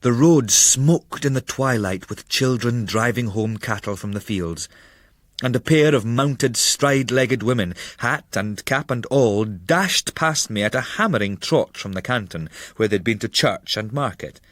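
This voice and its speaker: A man reading a literary travel memoir as a narrator, in a measured, formal storytelling manner. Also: mid-range voice, lively intonation, fairly bright tone, no room sound. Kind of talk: dramatic literary audiobook narration.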